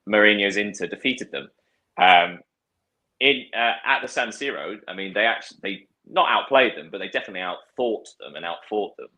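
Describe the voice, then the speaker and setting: A man's voice: fairly low pitch, animated, fairly quick, bright, forceful tone, low-quality recording. A man discussing football casually, thoughtful, with frequent fillers and restarts. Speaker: podcast guest.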